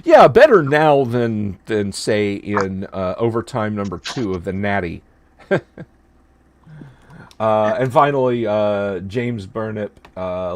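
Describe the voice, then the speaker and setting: Low-voiced chatty podcast host. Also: male voice. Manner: chatty, quizzing co-hosts, hesitant fillers. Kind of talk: casual sports chat.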